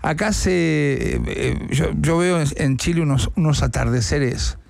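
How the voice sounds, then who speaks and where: A man's voice: on the high side, a little slow, gravelly, a little mellow, no echo. A man with an Argentine accent chatting casually on a sports panel, telling stories in colloquial, sweary language. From Buenos Aires province, Argentina. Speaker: sports show panelist and former footballer.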